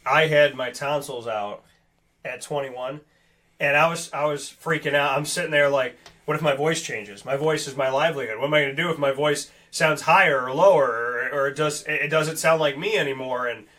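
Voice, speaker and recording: A young man with a bright, tense, fairly high voice, hosting a talk show. He talks earnestly and at length, his thoughts spilling out in an animated ramble. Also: normal speed. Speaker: podcast host. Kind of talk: casual chat.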